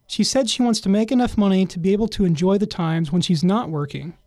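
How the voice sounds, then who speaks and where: High-voiced man reading news. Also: mellow, a little hiss or hum. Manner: measured reading. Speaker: news reporter narrating. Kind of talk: scripted news narration.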